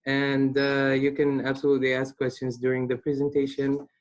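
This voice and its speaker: A nineteen-year-old man from Palestine presenting a webinar as a student, hesitant and pausing often. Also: medium pitch, completely flat delivery. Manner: hesitant, with many pauses.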